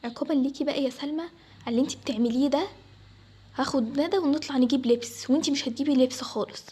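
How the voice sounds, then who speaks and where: Egyptian mother played by a woman for a family story: high female voice, rapid delivery, stern and dismissive. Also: mellow, natural voice. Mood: angry, threatening. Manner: scolding, dismissive. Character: harsh, scornful mother who favours one daughter. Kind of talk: acted Arabic family story.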